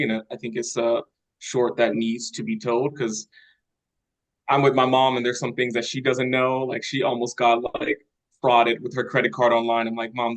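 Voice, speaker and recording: Casting: male participant, average pitch, casual conversational feedback and questions. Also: rough recording. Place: online video call.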